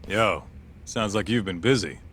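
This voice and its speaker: A man's voice: fairly low pitch, expressive, unhurried, fairly bright tone, a little hiss or hum. A man playing a streetwise, casual fixer in a video game cutscene, blunt, slangy and offhand. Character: streetwise, casual male fixer, a savvy associate.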